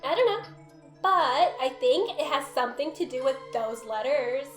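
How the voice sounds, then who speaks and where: Casting: a woman as a secretive, vengeful young woman, high-pitched, story reading in a roomy space. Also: expressive, moderate pace. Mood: suspicious. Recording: roomy echo, faint music behind.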